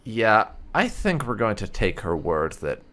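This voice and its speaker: A man's voice: on the low side, sing-song, a little slow, sharp tone. A man giving casual gameplay commentary and reading dialogue choices aloud, in a musing, understated, polite manner. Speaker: game streamer doing roleplay playthrough.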